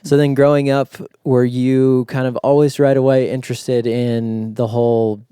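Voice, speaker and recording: Mellow, smooth-voiced young American host. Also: male voice, mid-range voice, flat delivery, moderate pace, no echo, studio quality. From United States. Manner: easygoing, many fillers and agreements. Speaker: podcast host. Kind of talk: casual interview.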